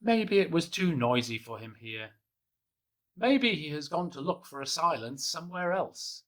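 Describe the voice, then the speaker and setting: Soft-spoken man reading a children's story. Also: a little high, animated, measured pace. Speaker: storybook reader. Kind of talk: gentle first-person children's story reading.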